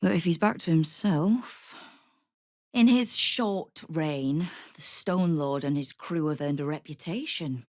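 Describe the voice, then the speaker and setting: Low-voiced, sardonic crime boss. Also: female voice, slow, no room sound. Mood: serious. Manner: wry, dry, veiled threats. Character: shrewd, sardonic female crime guild boss, hard-edged. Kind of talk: scripted video game voice acting.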